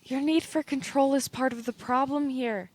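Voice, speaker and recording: High-voiced opinionated young woman played by a woman. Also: steady tone, normal speed, darkish tone. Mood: blunt. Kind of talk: audio play acting.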